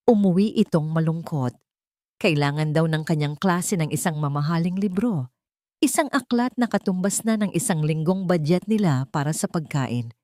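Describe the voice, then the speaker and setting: A woman with a Filipino accent narrates a scripted drama. Her pitch sits on the low side for a woman, and the sound is very dry, without room echo. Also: a little mellow. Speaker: storyteller.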